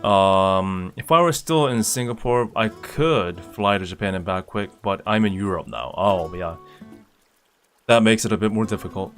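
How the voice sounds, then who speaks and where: A young man from Indonesia chats loosely with his viewers, full of fillers and restarts. His voice sits on the low side. Soft guitar music plays faintly underneath. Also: moderate pace. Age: young adult. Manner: relaxed, rambling with fillers and restarts. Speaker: streamer. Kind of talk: casual chat reading viewer comments.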